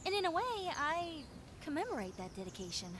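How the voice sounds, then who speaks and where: A woman playing a friendly, clumsy young female zombie in a roleplay audio drama, talking in a chatty, expressive, rambling way. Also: extremely high pitch, fairly quick, a little hiss or hum, heavily acted. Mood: chatty, sincere. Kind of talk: roleplay audio drama, conversational monologue addressed to listener.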